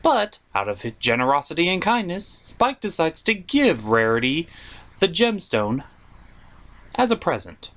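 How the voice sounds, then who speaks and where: Sarcastic cartoon reviewer doing comedy narration, super animated pitch, dramatic and kinda sweary. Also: measured pace. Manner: theatrical, sarcastic, mock-exasperated; profane.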